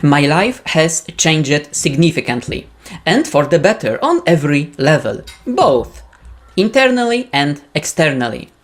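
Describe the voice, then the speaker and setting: Slow, low-voiced woman lecturer. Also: sing-song. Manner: slow, hesitant, with fillers. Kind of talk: personal testimony.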